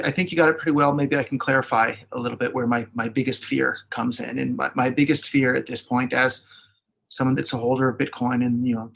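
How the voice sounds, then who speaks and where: A man with a gravelly, flat-toned voice makes his case in an old recorded debate. He speaks earnestly and leans on repetition to stress his points. The audio is played back from an archived clip. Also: medium pitch, low-quality recording. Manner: earnest, repeats phrases for emphasis. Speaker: debate participant. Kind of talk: arguing a position in a recorded debate.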